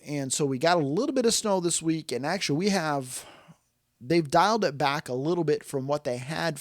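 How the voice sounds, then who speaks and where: A man's voice: on the high side, no echo, clean studio sound. An American man hosting a podcast in a casual, chatty style, speaking straight to listeners in a folksy, emphatic way. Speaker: podcast host.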